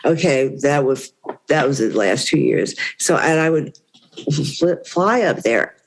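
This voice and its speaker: A woman's voice: very deep voice, expressive, unhurried. An older woman, a guest on a podcast, recalling the past in a rambling, conversational way. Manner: warm rambling recollection with many "you know"s. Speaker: interview guest. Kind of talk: reminiscing conversation.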